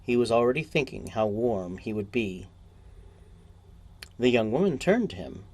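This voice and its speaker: A man reading a short story as a narrator, in deliberate, measured phrases. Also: medium pitch, lively intonation, a little mellow.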